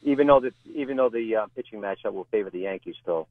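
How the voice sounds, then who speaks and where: A man's voice: fairly low pitch, fast talker, no room sound. Male sports radio caller on thin phone line. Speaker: radio call-in listener. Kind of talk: casual sports question.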